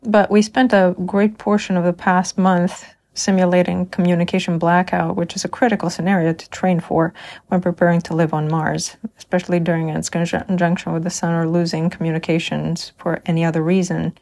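A woman's voice: lowish voice, little pitch movement, fairly quick, vocal fry, a little mellow. A middle-aged woman, a crew member in a simulated Mars habitat, reflecting thoughtfully and earnestly in an audio log.

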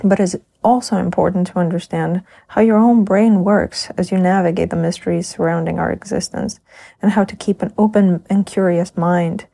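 A woman's voice: on the low side, fairly even intonation, normal speed, gravelly, warm tone. A middle-aged woman, a crew member in a simulated Mars habitat, reflecting thoughtfully and earnestly in an audio log.

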